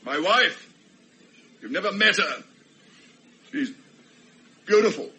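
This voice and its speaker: An actor playing a bitter, despairing betrayed husband in a radio play, speaking curtly and sardonically. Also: male voice, sits high, lively intonation, slow pace, sharp tone, exaggerated voice. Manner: curt, sardonic.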